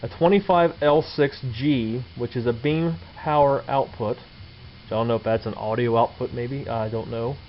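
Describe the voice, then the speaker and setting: A man's voice: medium pitch, moderate pace. A man giving a casual, unscripted show-and-tell in a folksy, colloquial way, going through details step by step. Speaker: vintage radio collector presenting a find. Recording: dull lo-fi camera sound, background noise.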